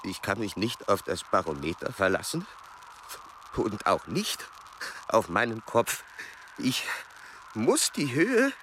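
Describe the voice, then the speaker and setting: An actor playing a strained explorer-scientist in a radio play, speaking formally and haltingly as he struggles to concentrate. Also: on the low side, sing-song, fairly bright tone, slight background noise, full character voice. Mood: strained, concentrating.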